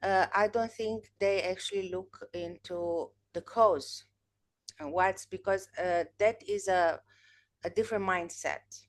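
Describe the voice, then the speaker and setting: A woman's voice: medium pitch, a little slow. A woman in her thirties, a native Romanian speaker, hosting a podcast in casual video-call chat, talking thoughtfully with frequent restarts. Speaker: podcast host. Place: home room, indoors. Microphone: video call webcam.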